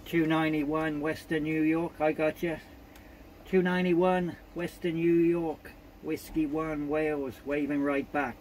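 Male CB operator, slightly high voice, courteous call-sign patter, clear background hiss. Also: flat delivery, unhurried, darkish tone, poor audio. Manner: polite, procedural, repeats call signs. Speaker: amateur CB radio operator. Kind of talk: radio contact chat with call signs.

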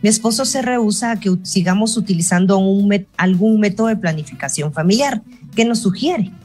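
A woman in her thirties with a Guatemalan Spanish accent, hosting a call-in show and reading listener messages in a clear, measured way. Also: mid-range voice, a little mellow, soft background music. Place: home room on a video call. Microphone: earphone mic on a video call.

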